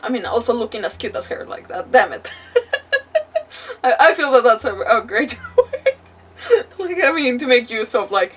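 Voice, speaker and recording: A woman's voice: on the high side, big pitch swings, normal speed, slightly rough. A woman livestreaming a game with casual, rambling, playful commentary and mild swearing, on a slightly distant microphone. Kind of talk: casual live gaming commentary.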